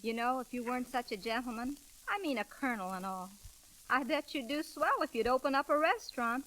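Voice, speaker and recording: An actress playing a friendly, bashful, homely cook, chatting in a folksy, apologetic way in old radio drama style. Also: female voice, on the high side, animated, brisk, fairly bright tone, rough recording. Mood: warm, encouraging. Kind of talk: 1930s radio acting.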